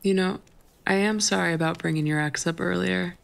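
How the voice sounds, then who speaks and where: A woman playing a playful, flirty, tender best friend with a crush in an intimate, halting ASMR roleplay. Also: lowish voice, steady tone, vocal fry. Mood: tender, confessing. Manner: teasing, halting, giggly delivery. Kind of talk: intimate ASMR roleplay, acted one-sided conversation.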